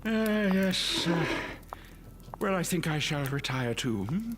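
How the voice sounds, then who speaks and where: A man's voice: on the high side, very animated, takes it slowly, noisy background. An actor playing a kindly, doddering old grandfather in an audio drama, hesitant and fussy, often tagging a little "hmm" onto what he says. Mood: flustered, gentle.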